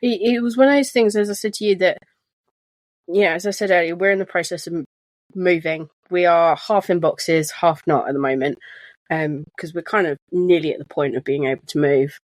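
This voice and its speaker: A soft-spoken woman co-hosting a chatty podcast from her sofa at home, talking with frequent restarts. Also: lowish voice, brisk, no room sound. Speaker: podcast co-host. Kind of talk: casual chat. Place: living room sofa at home. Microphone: shared desk microphone.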